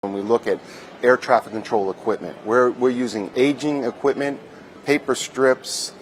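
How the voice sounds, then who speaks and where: A man's voice: mid-range voice, fairly even intonation, normal speed. A man explaining things off the cuff in an interview soundbite. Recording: noisy interview, background ambience.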